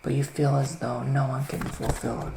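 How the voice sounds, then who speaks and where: Soft, creaky-voiced man whispering as a witch. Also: medium pitch, little pitch movement, reverberant, noisy background. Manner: soft-spoken, soothing, unhurried, with pauses. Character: gentle, reassuring male witch giving psychic readings. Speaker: ASMR performer playing a psychic reader. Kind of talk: whispered ASMR roleplay.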